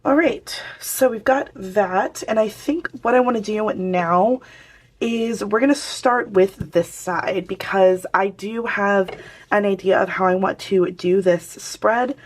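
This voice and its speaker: Hoarse-voiced woman host, chatty and easygoing, talking viewers through a planner spread. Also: mid-range voice. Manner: chatty, talks to viewers while working. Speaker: planner vlogger. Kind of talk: casual crafting chat.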